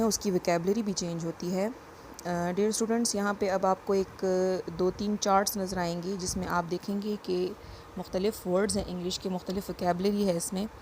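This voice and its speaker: A woman teacher with a voice, explaining a lesson patiently and reading English definitions out slowly. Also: on the low side, darkish tone, a little hiss or hum, rough recording. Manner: explains patiently, repeats points, reads English lines slowly.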